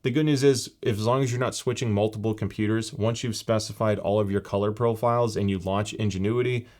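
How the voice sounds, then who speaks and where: A man giving a casual, explanatory walkthrough of a headset's software, with frequent fillers. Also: on the low side, fairly even intonation, a little fast. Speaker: tech reviewer. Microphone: gaming headset mic in later part.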